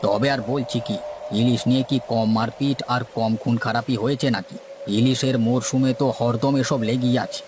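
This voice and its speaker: A man's voice: medium pitch, steady tone, normal speed, noisy background, natural voice. A man playing a seasoned, boastful village boatman in a cartoon, with a folksy, lecturing manner. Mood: cautioning, commanding. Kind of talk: cartoon story dialogue.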